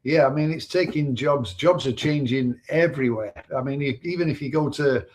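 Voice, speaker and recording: A man's voice: medium pitch, normal speed, darkish tone. A man from Manchester with a Mancunian accent, co-hosting a casual live stream in a rambling, conversational way. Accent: Mancunian English. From Manchester, England. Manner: conversational, rambling, uses fillers. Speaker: co-host.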